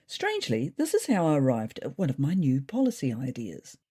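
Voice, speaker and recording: A woman's voice: very deep voice, wildly swooping pitch, a little fast, dark tone, husky, heavily acted. A woman voicing a thoughtful, neurotic, self-important male ginger cat who narrates his diary in a pompous, wordy way. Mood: pompous, indignant. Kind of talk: first-person storybook diary narration.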